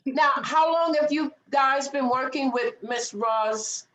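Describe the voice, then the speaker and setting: A woman with a slightly high voice and an unhurried pace. She chats casually on a video call, asking questions as an audience member. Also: fairly bright tone, rough recording. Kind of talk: casual question-and-answer chat.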